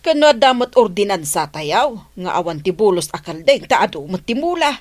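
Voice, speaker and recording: A woman's voice: medium pitch, big pitch swings, moderate pace. A woman with an Ilocano accent playing a disapproving, gossipy villager in a comedy radio drama.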